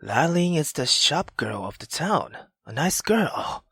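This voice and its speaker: A weary aristocratic husband from a visual novel, acted by a woman, pitched low and held back. He sounds exasperated and defends himself with strained restraint, close and dry. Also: big pitch swings, takes it slowly, a bit bright, husky, no echo, exaggerated voice. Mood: restrained, pleading.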